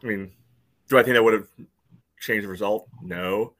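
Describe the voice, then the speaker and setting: A man on a sports podcast talking casually and haltingly, often dropping in "you know". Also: lowish voice, fairly bright tone. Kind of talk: casual soccer discussion.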